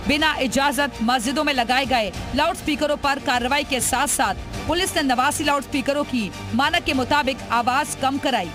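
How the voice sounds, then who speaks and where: A woman reading a scripted news report voice-over in a dramatic, emphatic way. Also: high voice.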